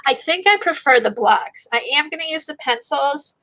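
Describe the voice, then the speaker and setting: A woman's voice: high-pitched, animated, moderate pace, sharp tone, poor audio. A woman with a congested, stuffy voice from a sinus infection, demonstrating a craft technique and thinking aloud as she works. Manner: rambling, thinking aloud while working. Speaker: art livestream host. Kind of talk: casual art demonstration.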